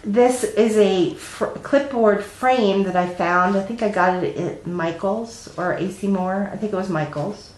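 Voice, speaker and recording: A woman's voice: medium pitch, measured pace, few pauses, darkish tone, reverberant, low-quality recording. A middle-aged woman with a stuffy cold, chatting at home in a rambling, casual way with lots of ums as she hosts her stitching vlog. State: congested with a cold. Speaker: flosstube host. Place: at home, indoors.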